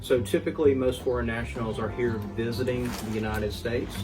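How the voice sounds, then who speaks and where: Male guest expert, mid pitch, patient explainer, distant mic, clear music bed. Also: a little fast. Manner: steady, explanatory, filler-heavy. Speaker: mortgage specialist guest. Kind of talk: explaining in an interview.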